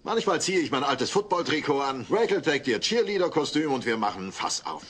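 An actor playing a jovial, sheepish middle-aged neighbour in a German audio play, chummy and a little hesitant. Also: male voice, fairly high pitch, very animated, brisk, fairly bright tone, slight background noise.

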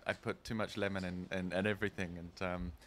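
A middle-aged man from Scotland, a designer answering interview questions softly and hesitantly, into a handheld mic in a large indoor showroom. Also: low voice, flat delivery, fast, crowd noise. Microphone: handheld mic held by interviewer.

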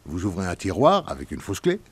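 A man's voice: low-pitched, wildly swooping pitch, brisk, husky, no echo, exaggerated voice. An actor in a French radio drama playing a sarcastic, blustering male sheriff. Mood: accusing. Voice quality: mocking, blustering. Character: gruff sarcastic male small-town sheriff.

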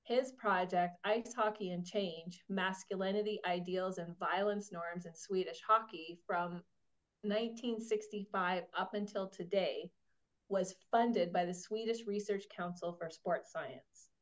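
A woman's voice: medium pitch, unhurried. A woman professor in her office, enthusiastically reading a guest's bio on a video call. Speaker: co-organizer, professor. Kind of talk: formal speaker introduction. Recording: muffled video call audio.

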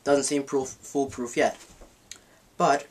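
A man's voice: medium pitch, fairly even intonation, slow, slight background noise, rough recording. A man teaching a card trick in a casual tutorial, rambling and correcting himself as he handles the cards at a table in a dim room. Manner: rambling, self-correcting, demonstrating while talking. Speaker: magic teacher.

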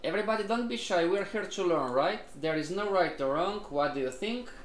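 A man's voice: sits high, animated, brisk, reverberant, slight background noise. A man teaching a live online trading class, repeatedly questioning and prompting his students as a group. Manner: repetitive, questioning, addresses the group often.

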